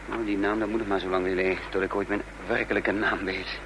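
Een acteur die in een hoorspel een vastberaden voortvluchtige dubbelganger speelt, bedachtzaam sprekend en dan weer bevelend. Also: male voice, fairly low pitch, little pitch movement, a little fast, close to an everyday voice. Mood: pensive. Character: resolute male fugitive impostor leading his companions. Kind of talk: radio drama acting. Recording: noisy old radio play recording.

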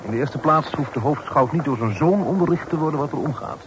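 An actor in a Dutch radio play, playing a courteous young gentleman who is uneasy and a little hesitant but composed. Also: fairly high pitch, animated, brisk, natural voice. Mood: puzzled. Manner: courteous, hesitant, somewhat puzzled. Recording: noisy old radio play recording.

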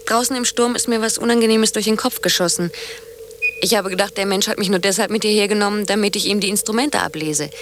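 An actress playing a blunt, sceptical, unsentimental lover in radio drama dialogue, probing in a matter-of-fact way. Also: female voice, medium pitch, fast talker, slight background noise, close to an everyday voice.

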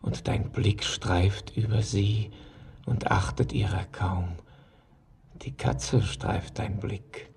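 An actor playing an ancient, weary, ghostly old miner in a poetic radio drama, gravelly and husky, speaking in a halting declamation. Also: male voice, on the low side, fairly even intonation, a little mellow, slight background noise, exaggerated voice. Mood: haunted, rising. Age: older.

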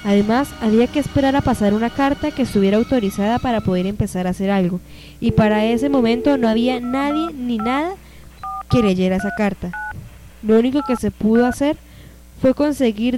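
A woman's voice: on the high side, brisk, low-quality recording. A woman reading scripted narration for a radio drama. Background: soft music.

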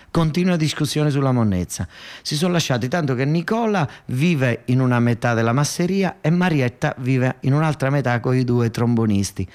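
A man's voice: medium pitch, darkish tone. A man with a southern Italian accent performing a theatrical narrative monologue in a colloquial, emphatic, repetitive way. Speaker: actor-storyteller.

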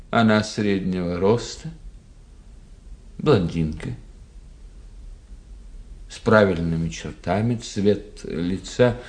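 An actor playing a wry, observant doctor in a radio drama, phrasing theatrically with deliberate pauses. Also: male voice, medium pitch. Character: wry adult male doctor, observant and teasing. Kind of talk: Russian radio drama acting.

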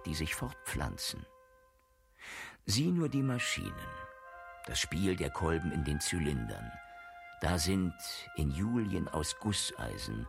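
A man's voice: a bass voice, vocal fry, heavily acted. An actor playing a worldly, cynical male friend who lectures with learned irony in a declamatory radio play style. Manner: rhetorical, declamatory, sardonic. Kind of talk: German radio play acting.

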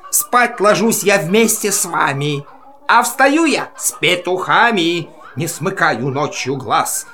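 A man's voice: a little high, pushed and bright, heavily acted. A man playing an irritable old rooster, crowing and complaining in rhyme in a children's audio play. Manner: crowing, blustery.

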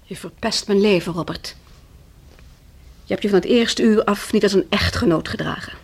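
A woman's voice: mid-range voice, moderate pace, vocal fry, darkish tone, lightly acted. Bitter young wife for radio drama, played by a woman: mid-range voice, cutting, taunting, proud. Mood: bitter reproach. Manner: scornful, taunting. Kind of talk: Dutch radio play acting.

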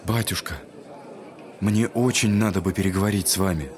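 An actor playing a gentle, devout young son, soft-spoken, humble and earnest, in a Russian audio drama. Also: male voice, sits low, moderate pace, some chatter behind, close to an everyday voice.

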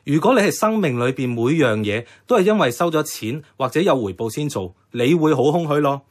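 A man's voice: on the high side, expressive, brisk. A man acting an idealistic, stubborn young activist with a Hong Kong Cantonese accent, earnest and persuasive. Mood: earnest, insistent. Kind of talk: radio drama acting.

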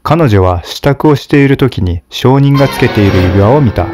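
A man narrating a fairy tale in a steady, measured scripted reading. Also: medium pitch, soft background music.

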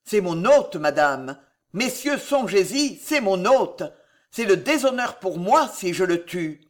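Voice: medium pitch, very animated, takes it slowly. A narrator voicing an anguished, unstable young king in a breathless, agitated, exclamatory manner. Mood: pleading.

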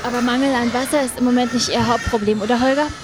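Field reporter, female actor, articulate and questioning, lightly high pitch, scripted radio reportage, noisy surroundings. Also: fairly even intonation, fast talker, natural voice. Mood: probing. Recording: clear ambient noise of an animal barn.

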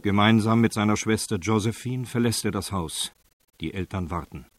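A man reading scripted narration for a radio drama in a factual, measured way. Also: on the low side.

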